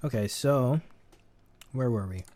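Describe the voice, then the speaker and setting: A man hosting a casual audio editing tutorial, chatting in a relaxed way and laughing at himself. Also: medium pitch, slow. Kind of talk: casual screen-recorded tutorial.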